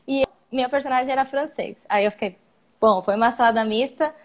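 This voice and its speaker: A woman's voice: sits high, fairly quick. A young Brazilian woman with a Brazilian Portuguese accent, chatting on a video call as an interview guest, meandering and restarting her sentences. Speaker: guest, actress and singer. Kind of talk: casual live-stream interview.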